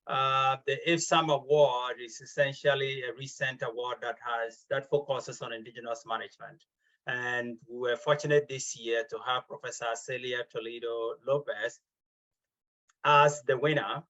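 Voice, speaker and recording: A man's voice: mid-range voice, fairly bright tone, dull audio. A man chairing an award session over a video call, speaking formally and politely with frequent ums and uhs. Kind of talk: formal welcome and introduction.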